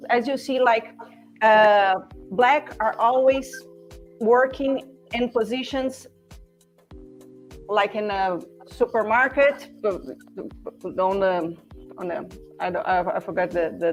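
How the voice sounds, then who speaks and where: A woman in her forties from Brazil, speaking English with a Brazilian Portuguese accent as a podcast guest, halting and searching for words with many restarts. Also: on the high side, lo-fi sound, faint music behind. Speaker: podcast guest, former classmate. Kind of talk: casual conversation.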